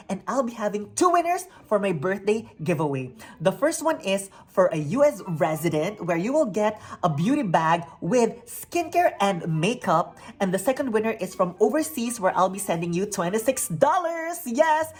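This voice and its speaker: A woman's voice: mid-range voice, sing-song, normal speed, hardly any pauses, husky. A woman in her forties hosting a beauty unboxing vlog, bubbly and upbeat, reading product labels aloud from her home. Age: 40s. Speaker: beauty vlogger. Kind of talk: unboxing review. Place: home near a busy street.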